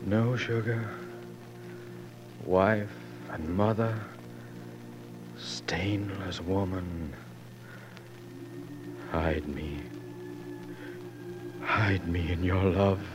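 Rugged dying frontiersman: deep, weak, unhurried and loving, 1940s radio acting. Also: fairly even intonation. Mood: weary tenderness. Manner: weak, halting, tender. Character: dying rugged frontier husband, tender and weary. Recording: old radio broadcast with loud music.

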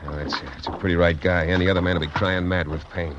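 A man's voice: low-pitched, flat delivery, quick pace, close to an everyday voice. An American man acting a blunt, commanding ship's oiler in an old radio drama. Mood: matter-of-fact. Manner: blunt, commanding, plain-spoken. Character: blunt, hard-working merchant marine oiler. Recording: muffled, noisy old radio recording.

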